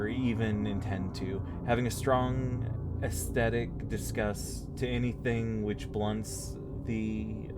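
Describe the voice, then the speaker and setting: Man, game streamer, fairly deep pitch, reading aloud with asides, slightly halting, clear background music. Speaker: let's play streamer. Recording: music clearly audible behind voice.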